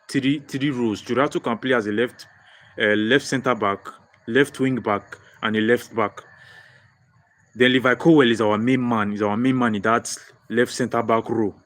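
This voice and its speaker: Young Nigerian-accented man, animated fan-stream host who loops phrases, mid-pitched voice. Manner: excitable, repeats phrases, frequent restarts. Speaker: football livestream host. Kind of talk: live-stream fan chat reading viewer comments.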